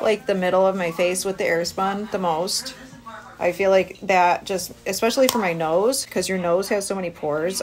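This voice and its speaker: A woman in her thirties giving a casual, chatty makeup tutorial, explaining informally as she works. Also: lowish voice. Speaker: beauty vlogger. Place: small cabin room by a window. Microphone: phone at arm's length. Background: music.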